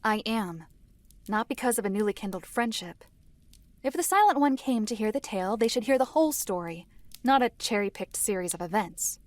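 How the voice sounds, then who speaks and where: A woman playing a reserved, composed female storyteller in a fantasy audio drama, speaking in a measured, formal, precise way with a dry, stern edge. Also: medium pitch, lively intonation, no room sound, close to an everyday voice. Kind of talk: fantasy visual novel audio drama acting.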